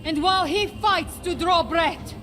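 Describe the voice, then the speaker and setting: A high-voiced, regal Tsarina of the frozen north. She speaks slowly and imperiously, as a proud ruler in a game cinematic, with music behind her. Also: a bit bright. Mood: solemn, resolute. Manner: regal, imperious, measured delivery. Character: proud, commanding ice queen, a Tsarina of a northern realm. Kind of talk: dramatic video game cinematic acting.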